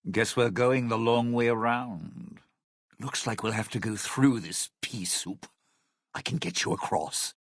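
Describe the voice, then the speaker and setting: An actor playing a sly, bitter, scheming lounge singer in a video game, with a raspy, gravelly voice and a wry, smooth-talking, theatrical manner. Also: male voice, medium pitch, a little slow. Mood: bitter, sardonic. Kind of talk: video game character dialogue.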